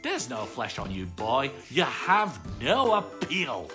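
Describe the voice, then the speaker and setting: A hulking storybook troll played by a woman reader speaks low and deliberately. He is blunt, hungry and taunting, leaning on his words with big swings of pitch. Music plays behind. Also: huge pitch swings, exaggerated voice. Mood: taunting, menacing.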